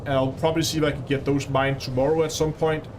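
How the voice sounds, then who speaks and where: A man's voice: medium pitch, level tone, quick pace, noisy background. A man with a Danish accent hosting a casual gaming livestream, chatting with viewers and laughing often. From Denmark. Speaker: livestream host.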